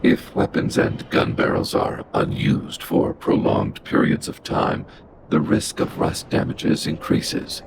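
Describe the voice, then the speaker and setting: Gravelly, abyss-deep robot boss. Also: male voice, huge pitch swings, slow, loud music behind the voice, heavily acted. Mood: impassive, formal. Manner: clipped, formal, computer-like phrasing. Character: huge stern logical male combat robot boss. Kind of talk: video game voice acting.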